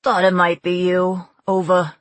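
A woman voicing a jovial, chatty Coast Guard man with a Brooklyn accent, loud and informal, in an audiobook. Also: fairly low pitch, monotone, measured pace, dry recording, natural voice.

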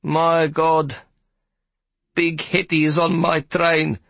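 A man's voice: a little high, big pitch swings, a little slow, a bit bright, breathy edge, no room sound, voice pushed up, exaggerated voice. A man voicing a sour, harried male train conductor, whiny, accusing and self-pitying. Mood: whining.

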